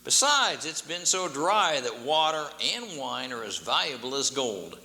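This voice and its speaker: A man voicing a gruff, impatient shopkeeper who talks bluntly and mockingly. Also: mid-range voice, sing-song, measured pace, pushed and bright, full character voice. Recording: long hall reverb, faint hum.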